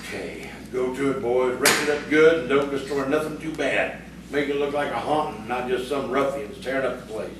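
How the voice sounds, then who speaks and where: Gruff jealous country bully in an echoey hall, played by a man. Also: mid-range voice, moderate pace, natural voice. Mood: commanding, scheming. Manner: gruff, blustering, rustic phrasing. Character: jealous hot-tempered country bully, scheming ringleader. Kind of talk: audio theatre acting. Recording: echoey, distant, noisy stage recording.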